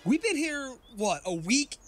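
A man playing an eager, sardonic adventurer with a husky voice in an audio drama. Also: very high-pitched, big pitch swings, measured pace, a bit bright, faint music behind, exaggerated voice.

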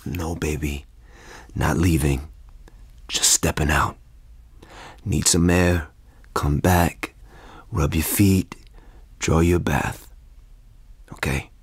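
An actor playing a troubled, protective husband and expectant father in a naturalistic audio drama, speaking in measured, short phrases. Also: rumbling low, fairly even intonation, breathy edge, no echo, clean studio sound, full character voice. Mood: weary, restrained.

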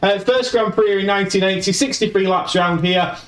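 Yorkshire man, gaming commentary, high voice, roomy echo, off mic. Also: fairly quick, distant mic. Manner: chatty, excitable play-by-play. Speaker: gaming YouTuber. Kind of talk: game commentary.

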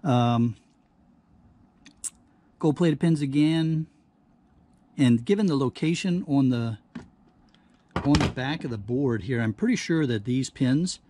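A man's voice: mid-range voice, slow pace, pauses often, mellow, slight background noise. A man giving casual hands-on commentary as he works, thinking aloud in a folksy, exclaiming way. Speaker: hobbyist host of scrapping videos. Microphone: action camera or phone near the workbench.

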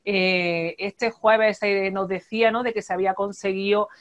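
A woman's voice: mid-range voice, steady tone, measured pace. A woman in her thirties hosting a video-call interview, framing her questions hesitantly with restarts and tag questions. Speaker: host. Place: room with plants and a window. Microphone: wired earphone mic on a video call. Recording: dull lo-fi video call audio.

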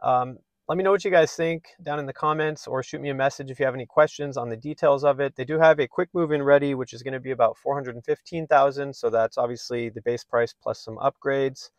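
A man in his thirties records a casual video to camera from the seat of a parked car, holding the camera at arm's length. His voice sits at an ordinary pitch and sounds close and dry, with no room echo. He talks in a friendly, easygoing way and fills pauses with "um" and "uh". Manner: friendly, relaxed, many "um"s and "uh"s. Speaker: real estate agent. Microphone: phone or camera held at arm's length.

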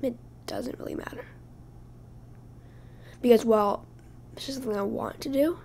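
A woman hosting her own podcast, speaking casually while hoarse from losing her voice, halting and often dropping to a breathy whisper. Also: a little high, darkish tone. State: hoarse, lost voice. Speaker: podcast host. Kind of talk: casual solo podcast talk.

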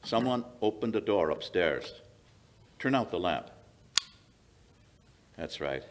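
Older man acting, self-assured radio detective, authoritative, deliberate, low-pitched, lively swings. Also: background noise, exaggerated voice. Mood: alert, commanding. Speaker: amateur radio theatre actor. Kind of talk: old-time radio drama acting. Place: small indoor museum stage. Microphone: standing vintage-style stage microphone.

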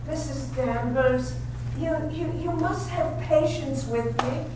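A timid young bride acted by a woman in a radio play, with a high voice and slow, polite delivery. She is heard from afar in a very echoey room. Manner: hesitant, polite, apologetic. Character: timid, eager-to-please young bride, new to manor life. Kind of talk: readers-theater radio drama acting. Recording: very echoey, distant, faintly noisy stage recording.

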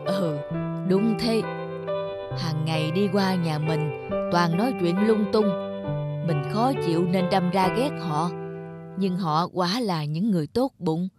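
A woman's voice: on the low side, takes it slowly, over loud music. A woman voicing a grumpy, aloof middle-aged male neighbour in a cartoon, grumbling and muttering to himself. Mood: regretful. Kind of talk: cartoon voice acting.

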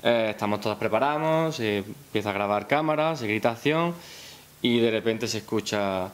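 A man's voice: fairly low pitch, normal speed. A young man with a Spanish accent from Spain, speaking unscripted at a press conference and hesitantly repeating words as he goes. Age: young adult. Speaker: film director. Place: indoor press conference room. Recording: hissy press-room recording.

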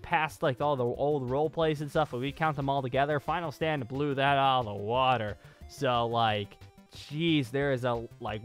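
A man in his twenties giving a casual, rambling update as a gaming channel host, repeating phrases for emphasis, close on a studio mic in a small home room. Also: a little high. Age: 20s. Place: small home room with acoustic foam, at a desk. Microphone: studio mic on a boom arm, close.

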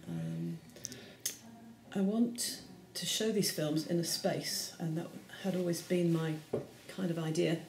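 A woman in her forties, an artist, describing her short films haltingly, with many pauses and fillers. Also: on the low side, expressive, a little mellow. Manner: hesitant, many ums. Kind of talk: casual explanation of her films. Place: room with bare block walls. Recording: echoey room.

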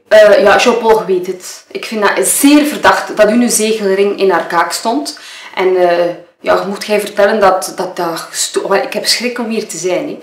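A woman plays a gossipy, nervous female model in an audio murder game. She has a Flemish accent and a medium-pitched voice. She rambles hesitantly and interrupts herself, and the room echoes clearly around her. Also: close to an everyday voice. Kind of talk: improvised-sounding monologue for an audio murder game. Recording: roomy, echoing room.

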